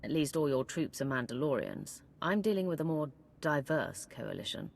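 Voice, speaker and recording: An actor playing a calm, reserved warrior leading a coalition. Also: male voice, fairly high pitch, moderate pace, creaky, heavily acted. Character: steady male warrior leader of a coalition.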